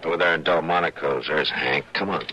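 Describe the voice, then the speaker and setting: An actor playing a patient, authoritative frontier marshal in a radio western, speaking plainly and in a measured way with an American accent. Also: male voice, extremely low pitch, highs cut off, noisy background. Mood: urgent, firm. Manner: measured, fatherly, plain-spoken. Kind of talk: old-time radio western acting.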